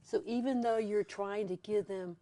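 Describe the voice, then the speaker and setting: A woman's voice: medium pitch, steady tone, normal speed, dark tone, no echo. A woman interviewer with a mid-range voice asks questions and voices agreement in an engaged, encouraging way. The recording is close and dry. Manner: engaged, affirming.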